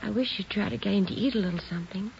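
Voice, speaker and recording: A woman playing a fretful, halting American mother of a young boy in a 1940s radio drama. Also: medium pitch, vocal fry, darkish tone, natural voice. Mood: worried, coaxing. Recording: muffled, hissy 1940s radio recording.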